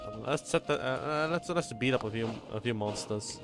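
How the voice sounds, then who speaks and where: A man doing casual live gameplay commentary as a streamer, halting and often repeating how his phrases start. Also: mid-range voice. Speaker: game streamer. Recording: faint game music behind.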